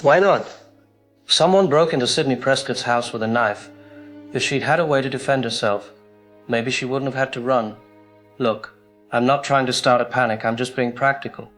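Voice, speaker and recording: A man's voice: mid-range voice, normal speed, fairly bright tone, faint music behind, close to an everyday voice. An actor playing a male hardware store owner who calls a radio show and talks bluntly and practically. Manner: blunt, practical, matter-of-fact. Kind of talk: audio drama acting.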